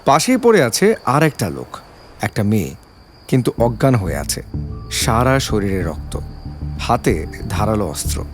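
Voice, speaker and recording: A man's voice: fairly low pitch, sing-song, unhurried, pauses often, over music. A man giving a dramatic scripted narration of an audio story.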